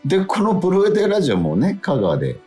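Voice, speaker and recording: A man's voice: high voice, huge pitch swings, very fast, dark tone. A man co-hosting a radio show, chatting casually and reading listener mail, with hesitant phrasing that often backtracks. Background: background music.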